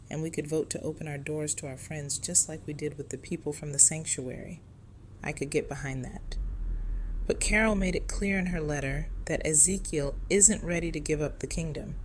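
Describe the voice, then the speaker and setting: A woman's voice: low-pitched, slight background noise, close to an everyday voice, poor audio. A woman playing a stern, guarded and unyielding security chief in an audio drama. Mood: resolute. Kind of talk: full-cast audio drama acting.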